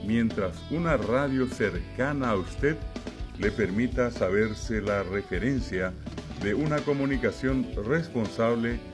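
Slow-spoken male radio announcer. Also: mid-range voice, animated. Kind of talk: scripted station promo. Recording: announcement over guitar music.

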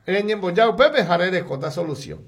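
A Paraguayan man on local radio, slipping between Spanish and Guarani as he rattles off ads. His voice sits high for a man and has a gravelly edge, with the volume rising and falling from phrase to phrase. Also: lively intonation. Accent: Paraguayan Spanish, mixing in Guarani. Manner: rapid, sing-song pitch and loudness swings, listing items. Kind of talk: live radio ad reading.

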